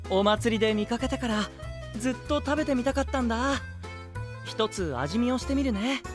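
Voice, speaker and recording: An actor playing a gentle, innocent, princely young man in an anime-style voice drama, kindly and guileless. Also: extremely high pitch, expressive, normal speed, over music. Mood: innocent enthusiasm. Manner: soft-spoken, guileless, kindly. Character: gentle, innocent, warm-hearted princely young male knight.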